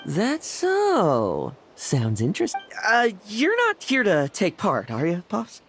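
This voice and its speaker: A confident young fighter in a video game, irreverent and teasing. Her voice is on the low side and swings very freely, with faint music behind. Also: wildly swooping pitch, slow, exaggerated voice.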